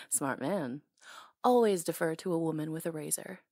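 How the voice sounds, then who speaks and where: A woman's voice: low voice, animated, unhurried, dark tone, no echo, clean studio sound. A woman acting in an intimate ASMR roleplay as a hair stylist, talking casually in a caring, teasing way.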